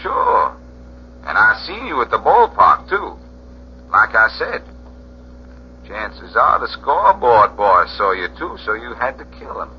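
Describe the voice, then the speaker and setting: Taunting slangy blackmailer, lively swooping pitch. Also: male voice. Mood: sly, menacing. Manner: taunting, unhurried, ungrammatical street slang. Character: sly detective posing as a slangy blackmailing hotel porter. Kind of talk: 1940s radio crime drama acting. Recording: muffled, hissy 1940s radio recording.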